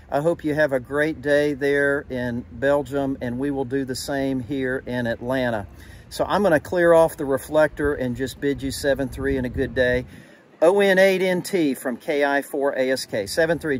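A middle-aged man from the USA, making a ham radio contact outdoors behind his car and talking to a handheld camera. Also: a little high. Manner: friendly, radio-style call signs. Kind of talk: radio contact with casual narration. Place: outdoors at the open back of a car. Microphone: phone camera at arm's length.

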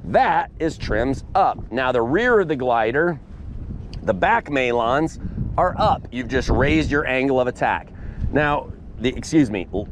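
Emphatic man teaching on a beach. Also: a little hiss or hum. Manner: emphatic, punchy asides like "boom" and "bam". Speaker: paramotor instructor. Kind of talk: outdoor class demonstration. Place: open sandy beach outdoors. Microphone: head-mounted camera mic.

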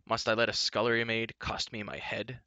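A man's voice: fairly low pitch, monotone, brisk, pushed and bright, smooth, dry recording. A man voicing a haughty, bitter nobleman warrior in a story, with a pompous, contemptuous manner. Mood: bitter contempt.

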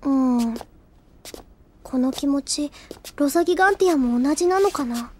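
A woman playing an earnest, shy, soft-hearted teenage schoolgirl in an anime audio drama, sincere, hesitant and reflective. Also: sits high, expressive, normal speed, slight background noise. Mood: wistful. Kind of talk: Japanese anime audio drama acting with inner monologue.

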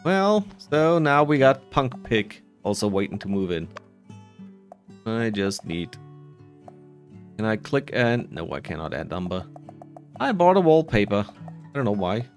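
Male gamer, unhurried casual commentary, half talking to himself, soft music underneath. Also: on the low side, big pitch swings. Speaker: gamer narrating a playthrough.

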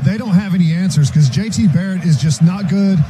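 Fast-talking high-pitched male football analyst. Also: warm tone. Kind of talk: live radio sports analysis. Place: outdoor stadium sideline. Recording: noisy stadium sideline.

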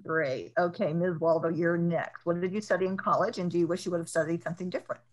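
An older woman moderating a panel over a video call from home, asking questions with halting repeats. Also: fairly low pitch, steady tone. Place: home room.

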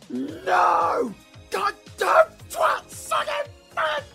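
An actor playing a cheeky, foul-mouthed British supervillain rogue in an audio roleplay, slangy and excitable in banter. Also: extremely high pitch, drawn-out words, gravelly, soft background music, exaggerated voice. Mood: furious.

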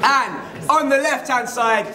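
Guy hyping up a battle event, really high voice, echoey room. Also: unhurried. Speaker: rap battle host. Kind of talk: hyped event announcing. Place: crowded indoor club venue. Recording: echoey venue with crowd noise.

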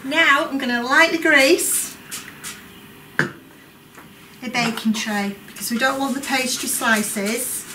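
A woman in her forties calmly demonstrating a recipe step by step as a cooking instructor, recorded from a distant camera in a large college kitchen classroom. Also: sits high, slow pace, long pauses. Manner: calm, clear, instructive. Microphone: distant camera mic. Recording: echoey room, voice from afar. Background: kitchen clatter and room noise.

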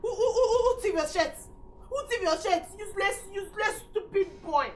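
A woman's voice: very high-pitched, fairly quick. A woman with a Nigerian accent playing a betrayed, furious young lover in a Pidgin comedy-drama, wailing and scolding. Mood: furious, cursing. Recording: distant mic with faint noise.

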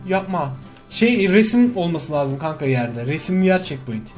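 A man hosting a gaming video, bantering casually with a friend in an excitable, slangy, exclaiming way. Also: on the high side, moderate pace, soft background music.

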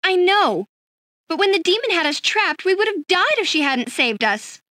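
Earnest teen girl heroine for an anime game, high lively voice. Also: moderate pace, no echo, clean studio sound, full character voice. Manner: earnest, pleading. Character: earnest, kind-hearted teenage schoolgirl heroine.

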